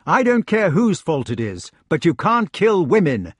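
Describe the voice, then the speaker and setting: A man's voice: fairly high pitch, very animated, a little slow, husky, no room sound, clean studio sound, voice pushed up, full character voice. A man voicing a stern, chivalrous young knight in an audiobook, firm and exasperated as he admonishes. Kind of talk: audiobook character voice.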